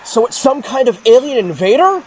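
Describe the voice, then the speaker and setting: A woman's voice: fairly high pitch, very animated, a little fast, darkish tone, slight background noise. A woman voicing a brash, impatient young male hedgehog hero with urgent, emphatic delivery in a cartoon audio drama. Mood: tense impatience.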